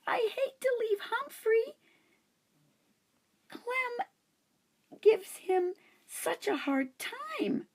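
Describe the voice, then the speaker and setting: Sweet tender young girl, as a woman reader puts her on, high soft tearful voice, lively lilt, storybook. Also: very slow, pauses often, heavily acted. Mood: pleading.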